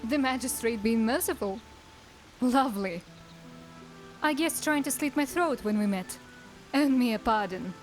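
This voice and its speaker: High-voiced wry elf assassin played by a woman. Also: expressive, unhurried, long pauses, faint music behind, poor audio. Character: wry, guarded female drow elf assassin. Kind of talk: cinematic fantasy voice acting.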